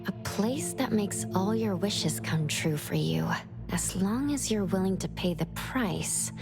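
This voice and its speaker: A calm young woman investigator in a video game. She speaks slowly, in a measured, thoughtful way. Music is clearly heard behind her. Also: mid-range voice. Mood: pensive. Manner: measured, pondering.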